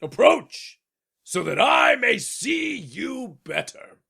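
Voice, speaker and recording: A man voicing a proud, pompous king in a storybook tale, raspy and commanding, drawing out his orders. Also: extremely high pitch, sing-song, pushed and bright, pitched above the performer's own voice, heavily acted. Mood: grandly delighted. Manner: pompous, commanding, drawn-out imperious delivery.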